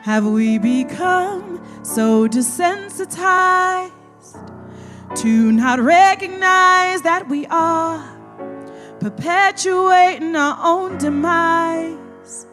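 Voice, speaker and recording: A woman's voice: extremely high pitch, extremely slow, a bit bright, faint music behind, exaggerated voice. A woman playing an anguished, lamenting wife in an audio drama, with a poetic delivery. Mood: mournful. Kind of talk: audio drama acting, half-sung spoken word.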